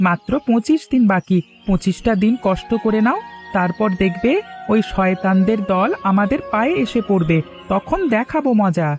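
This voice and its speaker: A woman's voice: medium pitch, lively intonation, moderate pace, darkish tone, soft background music, close to an everyday voice. Woman playing a blunt, defiant village oddball man. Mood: assuring. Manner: blunt, indignant, protective. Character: defiant, kind-hearted village man thought mad. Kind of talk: cartoon voice acting.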